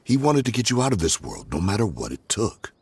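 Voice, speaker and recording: A man playing a gruff, loyal desert brigand in a video game, solemn and aggrieved, with a raspy voice. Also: fairly low pitch, huge pitch swings, brisk, a little mellow, no echo, full character voice. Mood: earnest, aggrieved. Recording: music behind the voice. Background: game music.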